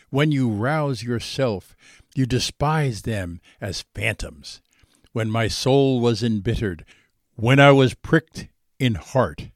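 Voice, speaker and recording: A man reading a psalm from the Bible aloud in an expressive, dramatic style. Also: medium pitch, no room sound, studio quality. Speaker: scripture reader.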